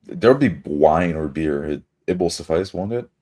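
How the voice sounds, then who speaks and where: Brief: male tabletop player, extremely low pitch, measured and formal in-character delivery. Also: animated, darkish tone.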